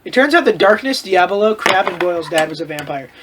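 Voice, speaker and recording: A man's voice: sits high, expressive, fast talker, noisy background. A man reading a story aloud in a casual comedic way, mock-dramatic and often breaking off to riff. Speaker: host reading fan fiction aloud with commentary.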